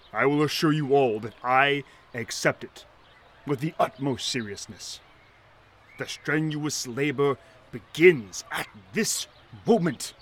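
An actor playing an earnest, pompous, kindly shantytown mayor with formal, florid speech in a 1930s audio drama. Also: male voice, mid-range voice, a little hiss or hum.

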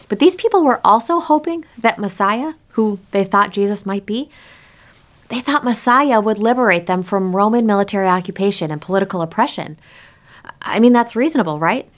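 Woman pastor, mid-range voice, conversational sermon delivery, direct address with rhetorical questions. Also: medium pitch.

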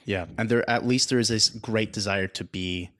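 Low-voiced man, hesitant and agreeable. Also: fairly even intonation, moderate pace, a bit bright. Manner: hesitant, searching for words, agreeable. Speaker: podcast co-host. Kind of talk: casual discussion.

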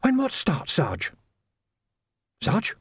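A man's voice: sits high, wildly swooping pitch, extremely slow, slightly rough, no echo, studio quality, voice pushed up, full character voice. A man voicing an eager, naive young lance constable, earnest and deferential, in an audiobook. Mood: puzzled, eager. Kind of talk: audiobook character voice.

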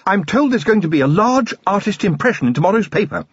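A man's voice: high voice, very animated, fast talker, breathy edge, no room sound, lo-fi sound, pitched above the performer's own voice, full character voice. A man voicing a genteel noblewoman with a refined, gushing upper-class lilt. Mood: fond. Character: genteel noblewoman, warm and affectionate.

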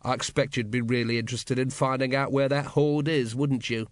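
A weary middle-aged watch captain, read by a male narrator in a storybook. He is cynical and dry, speaking hesitantly at a medium pitch. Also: level tone, brisk, no room sound, close to an everyday voice. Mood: probing, resigned.

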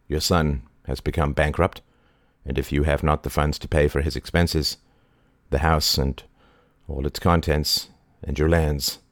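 A man's voice: a bass voice, no echo, clean studio sound. A man voicing a sorrowful bailiff who speaks in a grave, formal way.

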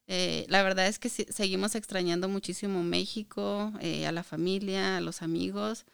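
A woman with a northern Mexican Spanish accent talks casually as an interview guest. Her mid-pitched voice is clear and smooth, with noticeable creak at times. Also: dry recording, studio quality. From Chihuahua, Mexico. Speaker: podcast guest.

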